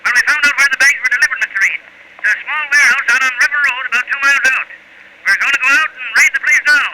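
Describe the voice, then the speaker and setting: Heavy noise from an old radio broadcast surrounds a dutiful city police sergeant. His voice is very high for a man, and he reports in slangy, brisk cop talk. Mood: eager. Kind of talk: 1940s radio acting. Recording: very noisy old radio recording.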